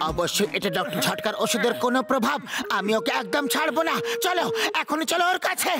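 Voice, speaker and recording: Exasperated cartoon sidekick, very high and gravelly, scolding, music running loud underneath. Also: male voice, very animated, quick pace, heavily acted. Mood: indignant. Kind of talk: dubbed children's cartoon comedy acting.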